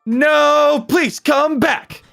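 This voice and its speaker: A woman voicing theatrical villains and lovers from a parody fanfiction in exaggerated, drawn-out melodrama. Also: high voice, creaky, a bit bright, faint music behind, exaggerated voice. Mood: pleading. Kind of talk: mock-dramatic fanfiction character voices.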